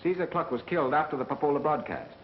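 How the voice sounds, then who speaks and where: An actor with an American accent playing a calm, methodical engineer turned sleuth in a 1930s film, measured and wry. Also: male voice, on the high side, lightly acted. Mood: probing, accusing. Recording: muffled, hissy 1930s film soundtrack.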